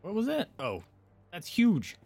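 A man's voice: high-pitched, huge pitch swings, measured pace, a little mellow, breathy edge, soft background music. A man livestreaming a game, chatting informally and wandering into asides for his viewers. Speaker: game streamer. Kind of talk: casual chat while livestreaming a game.

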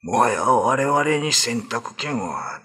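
An actor playing an old, reverent village elder in a radio drama, husky and deliberate, deferential in manner. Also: male voice, medium pitch, big pitch swings, sharp tone, heavily acted. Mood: grave, resigned.

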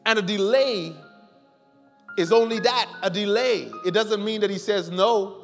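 Middle-aged man, pastor, sermon, animated storytelling, reverberant sanctuary, faint music. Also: high voice, a little slow. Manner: animated storytelling, swings from loud pleading to quiet. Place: large church sanctuary, preaching from the stage.

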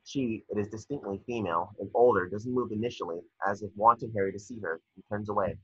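A man narrating scripted stage directions at a table read, measured with small stumbles, over a video call. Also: on the low side, husky, no room sound. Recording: dull lo-fi video call audio.

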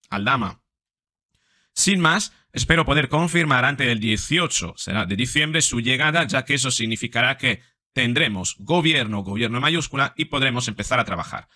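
Bright-voiced emphatic man commenting. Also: mid-range voice. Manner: emphatic, sarcastic asides between read quotes. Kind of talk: political commentary reading documents. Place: small room indoors.

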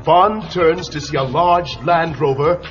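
A man's voice: a little high, slow. A man narrating a children's storybook adventure in a dramatic, urgent way. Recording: dull lo-fi tape with music.

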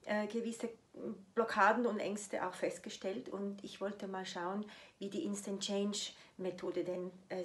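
A woman, giving an unscripted testimonial to camera in a small room, earnest and hesitant with many fillers. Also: mid-range voice. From Switzerland. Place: small bright room indoors.

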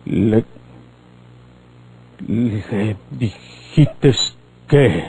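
A man's voice: on the low side, expressive, drawn-out words, dark tone, slightly rough, heavily acted, rough recording. An actor playing a hot-tempered, menacing bandit father in an old radio drama, with a Mexican accent and theatrical rustic speech. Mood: cold, suspicious.

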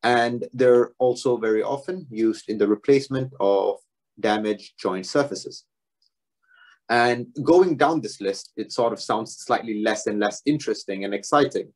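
Low-voiced young man giving a research talk. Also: a little slow. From Singapore. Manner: relaxed, self-deprecating, with frequent fillers. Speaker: doctoral student presenter. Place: home room, video talk.

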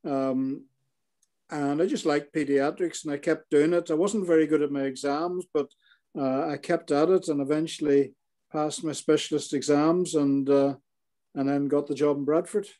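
Retired doctor, older Northern Irish man, warm and slightly high-pitched, halting, over a laptop call. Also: fairly even intonation. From Northern Ireland. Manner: hesitant, many fillers and restarts. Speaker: retired paediatrician. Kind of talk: interview over video call. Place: living room in an armchair. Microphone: laptop webcam video call.

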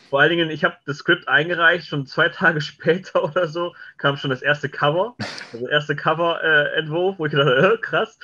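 A man's voice: on the high side, pushed and bright. A middle-aged man telling anecdotes casually as an interview guest on a video call. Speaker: interview guest, scriptwriter and director. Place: indoor home room, video call. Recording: dull, lo-fi video call audio.

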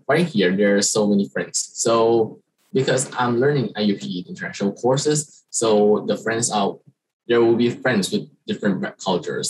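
A young man from Thailand, a Thai native speaker, giving a slide presentation as an international student on a video call, halting with many fillers and restarts. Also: lowish voice, a little mellow, a little hiss or hum, low-quality recording. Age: young adult. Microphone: video call webcam.

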